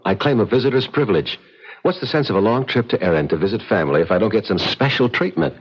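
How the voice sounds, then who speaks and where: American male visitor: skeptical, casual, very lively intonation, muffled old radio drama sound. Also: on the low side, quick pace, slightly rough. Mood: playful, eager. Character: American visitor, jovial yet uneasy, evasive skeptical cousin. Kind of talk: 1970s radio drama acting. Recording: dull old radio recording.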